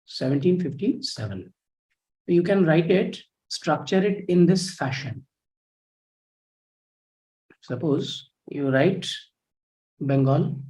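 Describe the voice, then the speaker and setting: A man with an Indian English accent teaches history in a live online class, speaking in a soft, low-volume voice. His pitch sits a little high for a man, and he talks in a measured, instructive way. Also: lively intonation, rough recording. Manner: measured, instructive, reads out student comments.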